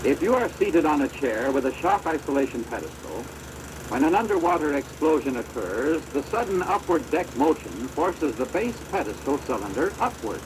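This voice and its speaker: American male narrator, formal and instructive, very animated intonation, measured scripted delivery, deteriorated 16mm film sound with clear machine noise. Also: on the high side. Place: recorded off an old film projector.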